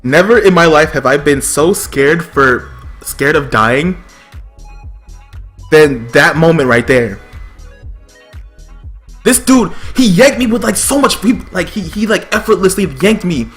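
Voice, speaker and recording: A man's voice: a little high, animated, unhurried, pauses often, a bit bright. Casual male storyteller, slangy, over music. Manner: profane, slangy, exclaiming and acting out quotes. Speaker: streamer telling a personal story.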